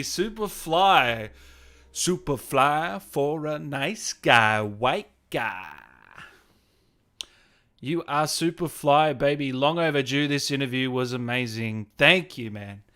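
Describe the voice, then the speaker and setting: A man hosting a casual livestream, reading out viewer messages and thanking people with effusive, drawn-out, hyped shout-outs. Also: fairly high pitch, animated. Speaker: livestream host and channel owner. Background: music playing behind the voice.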